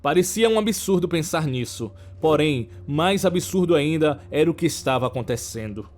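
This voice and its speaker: A man with a Brazilian accent narrating a scripted horror story in a measured, dramatic way. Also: fairly high pitch, expressive, darkish tone.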